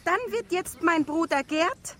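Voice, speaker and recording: A woman's voice: very high-pitched, a little slow, a bit bright. A woman playing a bossy, businesslike funeral organiser in a rhyming radio play. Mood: brisk, bossy.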